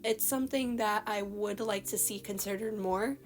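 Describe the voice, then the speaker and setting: A young woman giving casual, rambling voice-over commentary on a costume redesign, her voice slightly hoarse from a recent illness. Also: medium pitch, fairly even intonation, moderate pace. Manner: chatty, rambling, many "like" fillers. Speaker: artist commenting on a character redesign. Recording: voice-over with faint background music.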